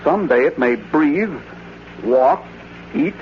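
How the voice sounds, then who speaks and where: Punchy, fairly high-voiced newspaperman. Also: lively intonation, slow pace. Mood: dramatic. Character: skeptical male newspaperman, plain-spoken colleague. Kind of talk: 1940s-50s radio drama acting. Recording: dull, lightly noisy vintage radio recording.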